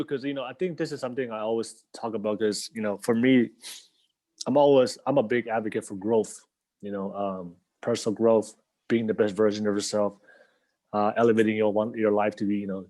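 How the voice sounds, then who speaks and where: Chatty host who laughs a lot. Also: male voice, lowish voice, darkish tone. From Tonga. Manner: rambling, many fillers, laughs often. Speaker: podcast host. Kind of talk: casual interview chat.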